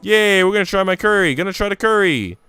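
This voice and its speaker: Man as excitable little girl, shift+, sweet, insistent, clear, visual novel character. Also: high voice, fast talker, no room sound, soft background music, voice pushed up. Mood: excited. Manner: childlike, eager. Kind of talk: visual novel read-aloud.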